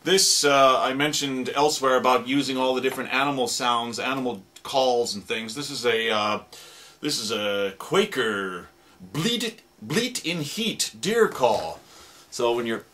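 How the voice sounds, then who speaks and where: A man who produces radio drama, demonstrating sound effect gadgets in a relaxed, explanatory way with plenty of fillers. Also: medium pitch, measured pace.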